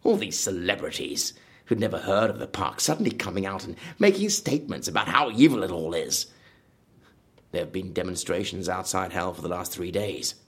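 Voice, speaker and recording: Obsessive horror park designer, low husky voice, swinging pitch, bitter, grandiose, vengeful, audio drama. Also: male voice, lively intonation, brisk, full character voice. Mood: gloating. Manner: brooding, bitter, grandiose, prone to outbursts.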